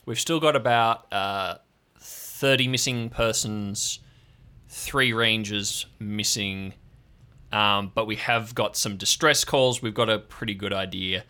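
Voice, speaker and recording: A man playing a slow, plain-spoken male ranger giving a hesitant, matter-of-fact report in an improvised audio drama. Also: mid-range voice, sharp tone, clean tone.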